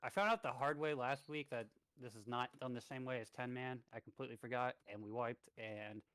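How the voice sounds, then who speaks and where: A man's voice: mid-range voice, a little fast, fairly bright tone, clean tone, no echo. Easygoing young man, very dry sound. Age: 20s. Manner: rambling, filler-heavy, easygoing. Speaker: streamer and raid leader. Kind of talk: casual gaming chat and raid briefing.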